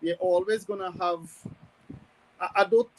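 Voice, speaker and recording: A man giving conversational sports analysis as a guest, hesitant and measured as he thinks aloud. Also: sits high, steady tone, slight background noise, poor audio. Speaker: football pundit guest.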